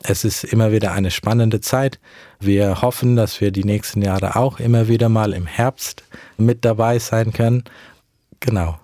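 A man's voice: on the low side, steady tone, mellow, dry recording, clean studio sound. A young man whose first language is English, answering interview questions about mission work in careful, hesitant speech. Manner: earnest, measured, with frequent hesitations. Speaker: mission worker.

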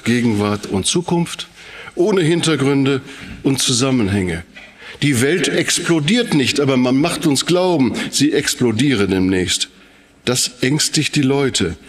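Man preaching with rhetorical emphasis. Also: mid-range voice. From Sauerland, Germany. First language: German. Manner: rhetorical, emphatic, with repetition and questions. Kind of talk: sermon-like public talk.

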